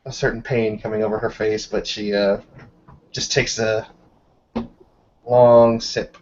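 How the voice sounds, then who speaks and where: A man's voice: on the low side, little pitch movement, unhurried, a little mellow, a little hiss or hum. Man narrating a tabletop game, dull recording. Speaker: game master. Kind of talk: improvised tabletop roleplay narration.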